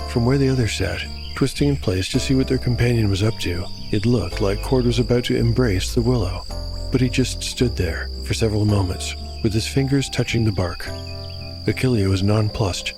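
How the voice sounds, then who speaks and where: A man narrating a scripted audio drama as game master, with a measured storyteller's delivery. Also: medium pitch. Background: music.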